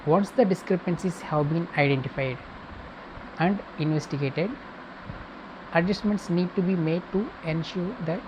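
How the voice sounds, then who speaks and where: A woman with a low voice teaches in a step-by-step tutorial style. She speaks in short, halting segments and often restates her phrases. The recording has clear background noise and hum. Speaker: accounting tutor. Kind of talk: step-by-step tutorial, reading points and explaining.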